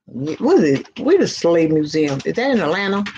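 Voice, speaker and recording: An easygoing, chatty woman with a slight Southern twang co-hosts a relaxed online chat. Her audio sounds a bit dull and lo-fi, with faint noise in the background. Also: fairly low pitch, moderate pace. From born in Virginia, raised in New York City. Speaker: co-host. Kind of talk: casual live-stream chat.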